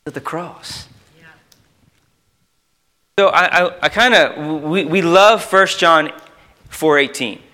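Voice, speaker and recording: A man's voice: on the high side, slow, pauses often, bright, forceful tone. A man preaching an informal sermon as a youth pastor, speaking emphatically with repeated phrases and conversational asides to his listeners. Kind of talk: informal sermon with scripture quotation and exposition.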